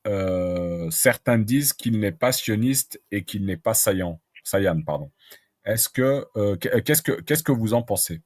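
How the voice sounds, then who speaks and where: A man's voice: on the low side, animated, measured pace, no room sound, clean studio sound. A man hosting a live question-and-answer show who reads out viewer questions and stumbles over his words. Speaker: host reading viewer questions.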